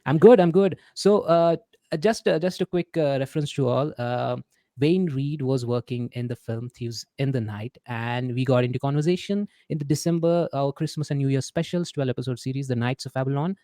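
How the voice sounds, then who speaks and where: A man's voice: mid-range voice, moderate pace, dark tone, no room sound, studio quality. A man hosting a podcast interview, talking in a chatty, rambling way with many fillers. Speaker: podcast host. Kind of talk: casual interview chat.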